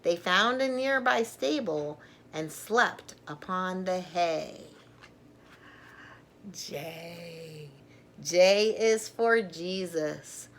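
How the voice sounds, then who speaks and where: A middle-aged woman reading a picture book aloud to children, gently and deliberately, with chatty asides. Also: fairly low pitch.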